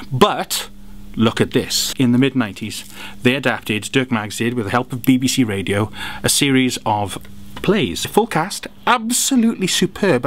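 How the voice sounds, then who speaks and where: A man giving an animated, gushing review of audio dramas and radio plays, throwing in jokes as he goes. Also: medium pitch, slight background noise. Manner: animated, jokey, gushing with praise. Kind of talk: enthusiastic product review.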